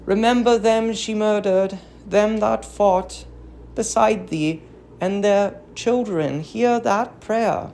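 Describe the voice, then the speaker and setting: An aged queen of Greek tragedy, as a woman acts her out, grieving and accusing. Her speech is slow and declamatory, with some creak, and she pleads with impassioned bitterness. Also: mid-range voice, warm tone, a little hiss or hum. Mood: pleading warning.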